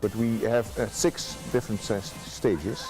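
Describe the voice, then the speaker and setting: A middle-aged man with a Dutch accent, a festival manager interviewed outdoors in a park, speaking haltingly with many fillers. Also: lowish voice, steady tone, over music, low-quality recording.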